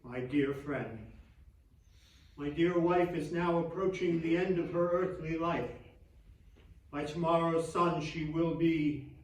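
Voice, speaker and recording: A man's voice: a little high, steady tone, takes it slowly, pauses often. Actor as grieving Victorian clergyman, very echoey. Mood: sorrowful, pleading. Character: grieving, devout Victorian clergyman, gentle and humble. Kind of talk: theatrical dramatic reading of letters. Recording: distant echoey hall stage recording.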